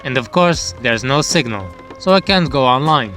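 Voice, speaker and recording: A man's voice: medium pitch, lively intonation, normal speed, pushed and bright, soft background music. A man giving a scripted storytelling narration for a manga review channel.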